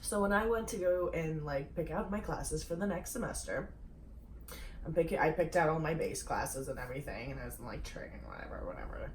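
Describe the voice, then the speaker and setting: A woman's voice: low-pitched, a little fast, darkish tone. A young woman from Russia, a native Russian speaker, telling rambling stories in casual chat, laughing and swearing often. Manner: giggly, swears freely, rambling storytelling. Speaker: podcast host. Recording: echoey room, distant mic, faint noise.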